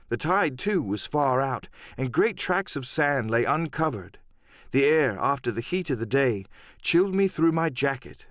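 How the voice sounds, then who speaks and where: A man's voice: medium pitch, no echo, clean studio sound. A man reading a first-person adventure story as a dramatic scripted audiobook narration.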